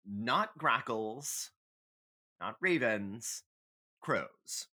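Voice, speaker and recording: A man's voice: mid-range voice, expressive, drawn-out words, sharp tone. A man co-hosting a podcast in casual banter, dryly humorous and playfully dramatic in how he tells things. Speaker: podcast co-host.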